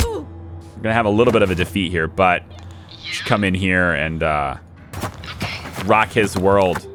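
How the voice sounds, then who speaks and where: A young man hosting a let's play, chatting casually as he plays and talking to his units, a little hoarse from losing his voice. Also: sits low. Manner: chatty, thinks aloud, talks to his units. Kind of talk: casual gameplay commentary.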